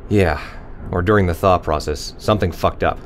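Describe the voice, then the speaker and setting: A man's voice: sits low, moderate pace, a little hiss or hum, close to an everyday voice. A man acting in an audio drama as a bitter, scarred spaceship crewman, dry, cynical and self-deprecating.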